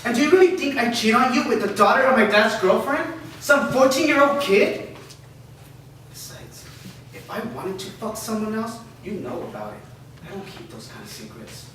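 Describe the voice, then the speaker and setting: A blunt, defiant teenage boy with a high voice, as a man reads him. He swears freely, heard from a distance in an echoey room with background noise. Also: sing-song, moderate pace, a bit bright, exaggerated voice. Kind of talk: staged reading. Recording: roomy echo, far from mic, noise.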